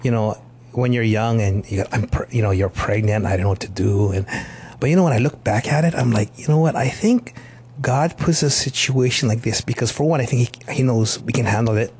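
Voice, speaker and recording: A man's voice: medium pitch, brisk, darkish tone, slightly rough. A man in his fifties from Hawaii, joking in a laid-back way during a casual interview.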